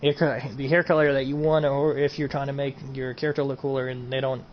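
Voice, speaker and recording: A man's voice: medium pitch, level tone, quick pace. A man streaming a casual game mod showcase, rambling and repeating himself as he trails off. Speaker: gaming streamer. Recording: dull lo-fi home recording, faint noise.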